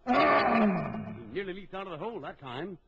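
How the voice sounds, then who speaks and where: An actor playing a bold big-game trapper in an old children's adventure record, briskly instructing and giving orders. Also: male voice, high voice, sing-song, gravelly, breathy edge, exaggerated voice. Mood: urgent, resolute. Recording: dull old record with background crowd noise.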